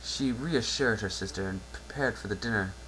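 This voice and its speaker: A man's voice: on the low side, normal speed, fairly bright tone, low-quality recording. A man narrating a story aloud as a dramatic amateur reading. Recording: faint background noise and hum.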